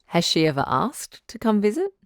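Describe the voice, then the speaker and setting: A woman playing a calm, firm relationship advice doctor hosting a radio call-in drama, measured and probing. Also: medium pitch, no room sound, clean studio sound.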